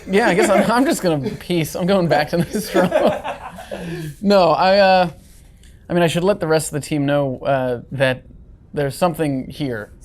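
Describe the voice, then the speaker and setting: Deep-voiced woman playing a tabletop game. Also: normal speed, a little mellow. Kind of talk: casual in-game chat.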